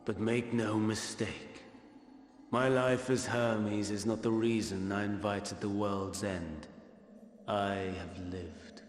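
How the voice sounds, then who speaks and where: An actor playing a despairing, grandiose villain in a video game, delivering a solemn, theatrical, weary monologue. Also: male voice, fairly low pitch, fairly even intonation, takes it slowly. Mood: bitter, solemn. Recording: echoey hall reverb with music behind.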